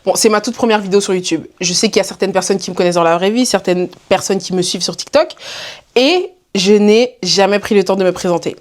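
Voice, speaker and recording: A woman's voice: mid-range voice, fast talker. A woman in her twenties from Belgium, introducing herself to camera in a chatty, playful, self-mocking way. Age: 20s. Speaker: YouTuber.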